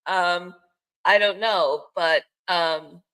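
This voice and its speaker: A woman's voice: lowish voice, extremely slow, bright, forceful tone, clear, resonant tone, poor audio. An older woman with a Bronx accent, co-hosting a sports podcast in casual, unscripted chat with wry, self-mocking asides. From the Bronx, New York, United States.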